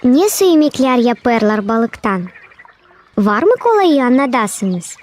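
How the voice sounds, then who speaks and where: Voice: mid-range voice, sing-song, slow, darkish tone. A performer playing a curious young girl in a cartoon, asking polite questions. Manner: inquisitive, polite.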